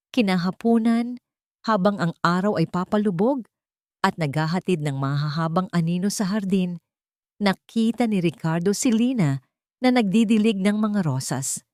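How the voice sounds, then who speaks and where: A woman's voice: lowish voice, darkish tone, no room sound, studio quality. A woman with a Filipino accent reads a scripted dramatic audio story as its narrator.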